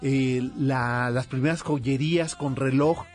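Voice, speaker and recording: A man's voice: medium pitch, steady tone, slow, soft background music. A man with a Mexican accent hosting a casual radio show, hesitant and searching for words as he talks.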